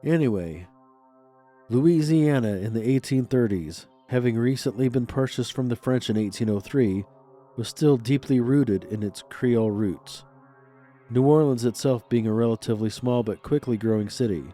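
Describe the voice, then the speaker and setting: A man's voice: medium pitch, moderate pace, dark tone, faint music behind. Stuffed-up man hosting a spooky podcast. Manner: playfully spooky, theatrical host patter. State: stuffed up, congested nose. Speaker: podcast host. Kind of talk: scripted paranormal podcast narration.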